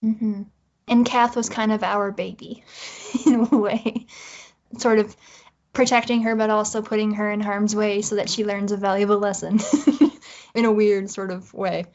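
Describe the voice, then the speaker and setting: A woman's voice: medium pitch, level tone, measured pace, darkish tone. A woman talking casually as a writer being interviewed. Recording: dull, band-limited audio.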